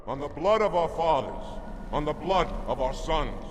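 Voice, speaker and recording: An actor playing a proud, zealous alien elite commander in a video game, gravelly, solemn and commanding. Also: male voice, on the high side, lively intonation, normal speed, background noise, natural voice. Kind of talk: video game character acting.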